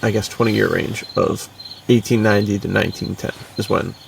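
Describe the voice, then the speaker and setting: A man chatting casually and upbeat as a podcast co-host, in relaxed slang. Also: lowish voice, fairly quick, gravelly, far from the mic, a little hiss or hum. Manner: laid-back slang, laughs easily.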